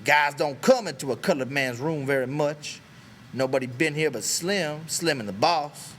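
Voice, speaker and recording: A man voicing a bitter, proud American stable hand who talks scornfully and forcefully, in a dramatic audiobook style. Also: a little high, normal speed, slight background noise, pitched above the performer's own voice, natural voice. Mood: sardonic. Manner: scornful, brutal, forceful rural American talk.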